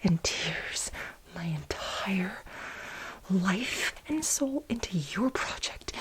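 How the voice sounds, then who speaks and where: A woman playing a frantic, aggrieved female assistant in a soft-spoken ASMR role play, breathy and mostly whispered, with pleading, stammering, melodramatic repetition. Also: a little high, slight background noise, rough recording.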